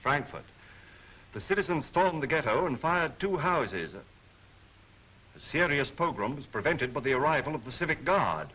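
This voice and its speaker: An actor playing a gloating aristocratic villain in an imperious, theatrical 1930s film style. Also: male voice, a little high, sing-song, measured pace, pushed and bright, heavily acted, rough recording. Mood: cold, imperious. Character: gloating, villainous aristocratic official who orders persecution. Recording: 1930s film soundtrack with faint noise.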